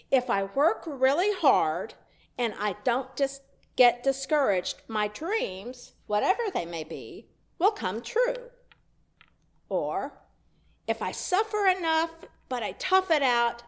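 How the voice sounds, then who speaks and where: Lady minister preaching in church, slow and animated, dry little jokes. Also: fairly high pitch. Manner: conversational storytelling with wry asides. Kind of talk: sermon. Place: church sanctuary.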